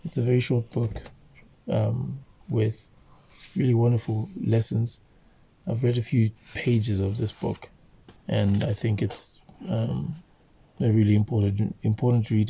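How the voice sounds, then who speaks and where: Host type: man from Zambia, low flat delivery, husky, reads aloud and stumbles, restarts a lot. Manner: halting, many fillers and self-corrections. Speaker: host reading a book aloud. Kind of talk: informal reading and commentary.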